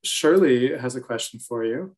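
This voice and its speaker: Measured young man hosting on a video call. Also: mid-range voice, animated, a little mellow. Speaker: gallery talk host. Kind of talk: moderating an interview. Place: room with bookshelves.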